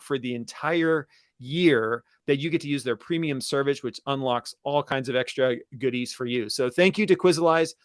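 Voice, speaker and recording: A man's voice: on the high side, no room sound, studio quality. A man co-hosting an educational webinar in a casual presenting style, talking directly to listeners. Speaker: podcast and webinar co-host.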